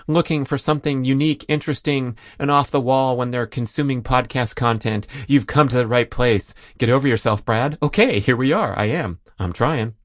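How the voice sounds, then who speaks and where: A man's voice: medium pitch, no room sound, studio quality. A man hosting a solo listener Q&A podcast, rambling and jokey with self-deprecating asides. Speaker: podcast host.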